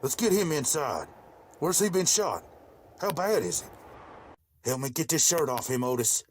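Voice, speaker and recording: A man's voice: fairly high pitch, big pitch swings, normal speed, a little hiss or hum. A man playing an older, devout, plain-spoken rural farmer in a motion comic. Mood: urgent. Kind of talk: dramatized motion comic dialogue.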